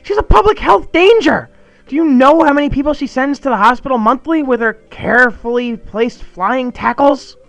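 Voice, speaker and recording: Guy doing a squeaky paranoid shut-in dude, freaking out, yelling fast. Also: creaky, no room sound, faint music behind, heavily acted. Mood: ranting. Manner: frantic, shrill ranting. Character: paranoid, panicky young male shut-in schoolmate.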